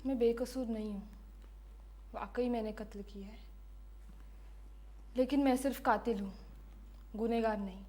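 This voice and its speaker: A woman playing a defiant, bitter condemned prisoner giving her last testimony in a deliberate, impassioned dramatic monologue. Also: on the high side, steady tone, mellow. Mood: composed, grave. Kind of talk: dramatic film-style monologue.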